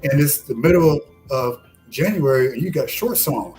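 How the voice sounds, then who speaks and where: A man telling a story in a lively, casual way and acting out the voices of the people he quotes. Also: medium pitch, moderate pace, over music, poor audio. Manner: animated storyteller who acts out quoted voices. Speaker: podcast co-host. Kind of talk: casual chat.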